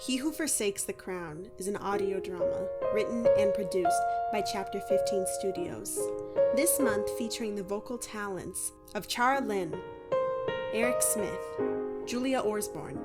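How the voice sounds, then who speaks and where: A woman announces drama credits from a script. She reads slowly at a middling pitch, with loud music playing underneath.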